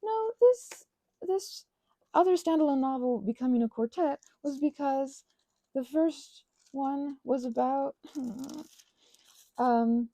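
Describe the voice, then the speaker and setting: A woman's voice: high voice, takes it slowly, warm tone, no echo. A young adult woman chatting casually as she crafts, in a scattered, self-interrupting way, gushing and poking fun at herself as she wanders off on tangents. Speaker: craft and ASMR channel host. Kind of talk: casual rambling chat while crafting.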